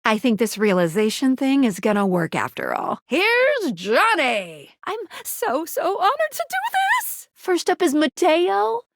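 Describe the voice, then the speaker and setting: A woman's voice: a little high, very animated, moderate pace, vocal fry, few pauses, darkish tone, no room sound, studio quality. A woman playing a perky, upbeat young female guide in a dating video game, with a playful, quirky and earnest manner. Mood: excited, bubbly. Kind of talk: video game character voice acting.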